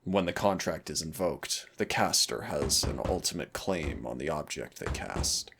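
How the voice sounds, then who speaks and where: A man playing a teasing but stern demon hunter in an audio roleplay, speaking deliberately with dramatic pauses in a gravelly, husky voice. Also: rumbling low, big pitch swings, background noise, exaggerated voice. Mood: grave, wry. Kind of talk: audio roleplay acting, intimate second-person dialogue.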